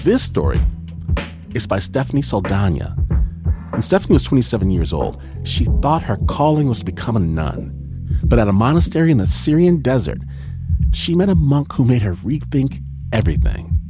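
A man's voice: mid-range voice, big pitch swings, moderate pace. A man hosting a radio story show, reading a scripted introduction. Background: music.

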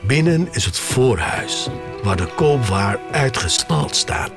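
A man narrating an audio drama in the first person, in a measured, expressive way with pauses between short phrases. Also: mid-range voice, music in the background.

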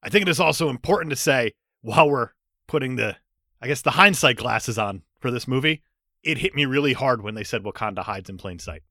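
A man co-hosting a casual movie podcast, teasing his partner with quick jokes and asides. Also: medium pitch, lively intonation, bright, forceful tone, no room sound, studio quality. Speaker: podcast co-host.

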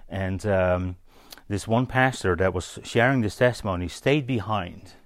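A man giving an informal, story-led sermon as a preacher, halting and conversational, often checking in with his listeners. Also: sits low, no room sound. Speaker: preacher teaching on spiritual gifts.

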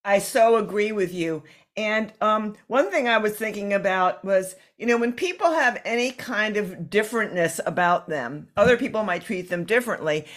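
A woman's voice: medium pitch, lively intonation, moderate pace, few pauses. Woman asking supportive interview questions. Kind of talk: conversational interview.

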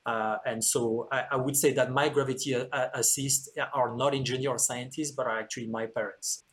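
Man, French accent, engineer guest, earnest, frequent fillers, mid-pitched. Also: steady tone, brisk, a bit bright. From France. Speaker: antenna engineer, podcast guest. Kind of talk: interview answers, explanatory.

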